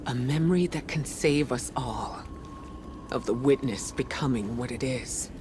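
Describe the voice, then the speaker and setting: An actor playing a loyal, steadfast comrade in a video game, speaking softly and breathily in measured phrases. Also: male voice, medium pitch, close to an everyday voice. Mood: quiet resolve. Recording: noisy game ambience behind voice.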